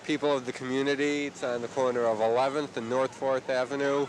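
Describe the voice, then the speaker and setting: A man's voice: medium pitch, flat delivery, quick pace, a little hiss or hum, poor audio. A man narrating casually and a little hesitantly to introduce the video.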